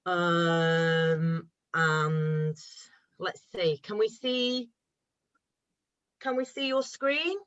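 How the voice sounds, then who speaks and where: A woman's voice: lowish voice, very slow, pauses often, fairly bright tone, clear, resonant tone, lo-fi sound. A woman hosting a video call, chatty and upbeat, fumbling with screen sharing. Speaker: host.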